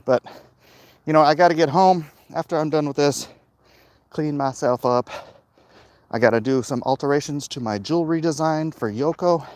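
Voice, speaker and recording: A middle-aged man talking casually and haltingly to his viewers in a monologue recorded while out walking. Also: fairly high pitch, darkish tone, no room sound. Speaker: comic book creator vlogging. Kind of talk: casual walk-and-talk monologue. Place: outdoors, walking along a hilly route.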